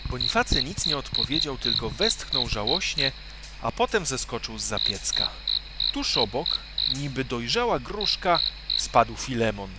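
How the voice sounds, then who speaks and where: Male narrator, children's story, expressive, lively pitch, very noisy homemade recording. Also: unhurried.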